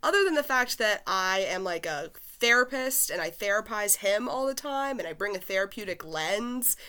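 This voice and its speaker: A woman's voice: mid-range voice, lively intonation, normal speed, pushed and bright, clean tone. A woman co-hosting a casual podcast from her home, chatty and self-deprecating, laughing often. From Pennsylvania. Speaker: podcast co-host.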